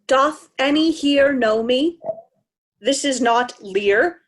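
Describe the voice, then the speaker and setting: A woman's voice: high voice, slow pace. A woman playing a proud, indignant old king in a Shakespearean reading, stately and commanding. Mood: bewildered, bitter.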